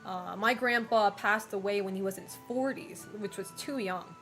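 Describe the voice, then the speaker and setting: A young woman chats casually on stream, frank and talkative, with frequent laughs and loose fillers. Her pitch sits in the middle for a woman's voice. Soft music plays faintly behind her. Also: normal speed. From United States and Japan. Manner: chatty, frank, laughs often, many fillers. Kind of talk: casual chat reading viewer messages.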